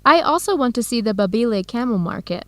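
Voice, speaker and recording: A warm, inquisitive American woman researcher acted by a woman in a radio drama. Her voice sits fairly high, conversational and recorded with very little room sound. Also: animated, quick pace, no room sound, lightly acted. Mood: curious. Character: Helen, warm curious American woman researcher seeking family. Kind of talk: scripted educational radio drama dialogue.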